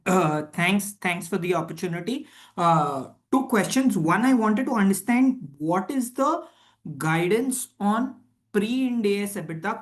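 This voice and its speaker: Low-pitched female analyst. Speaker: analyst asking questions. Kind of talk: prepared questions on an earnings call.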